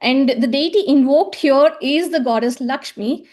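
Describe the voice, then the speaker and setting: Woman presenter, fairly high pitch, hesitant and repetitive, lecture tone. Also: unhurried, a little mellow. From South India. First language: Tamil. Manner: hesitant, many fillers and repeated words. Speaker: conference presenter. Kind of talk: academic presentation with slides.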